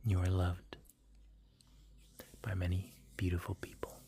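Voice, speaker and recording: A man hosting a livestream, whispering gently and offering soft, comforting affirmations in an ASMR style. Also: low-pitched, level tone, measured pace, creaky, slight background noise. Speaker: livestream host.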